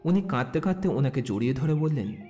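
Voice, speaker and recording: A man reads scripted story narration in a mellow, relaxed voice. His pitch moves expressively, and faint music plays underneath. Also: brisk.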